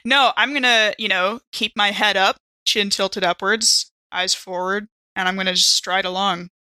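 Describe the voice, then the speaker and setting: Woman playing a horror rpg, casual improv chat, bright punchy voice, really dry audio. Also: medium pitch, fairly even intonation, moderate pace, clear, resonant tone, no echo, rough recording. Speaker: player in a horror roleplaying podcast. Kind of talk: casual improvised tabletop roleplay.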